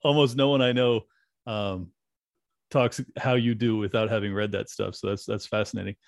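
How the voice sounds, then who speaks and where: A man's voice: fairly low pitch, steady tone, moderate pace, gravelly, no echo, studio quality. A man hosting a podcast interview, talking casually with restarts and fillers. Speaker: podcast host. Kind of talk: conversational interview.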